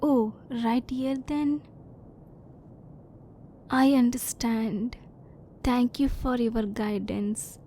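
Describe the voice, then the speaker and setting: A woman voicing a curious, reserved female alien in an ASMR roleplay, speaking softly in formal, stilted phrases, at times close to a whisper. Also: fairly high pitch, steady tone, very slow, pauses often, a little hiss or hum. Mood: attentive, unsure. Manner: soft-spoken, sometimes near whisper.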